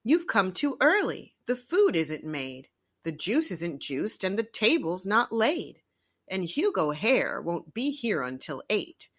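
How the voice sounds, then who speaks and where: A woman voicing a female animal friend in a children's story, a bossy but caring breakfast host, brisk and chiding. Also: medium pitch, sing-song, fairly bright tone, exaggerated voice.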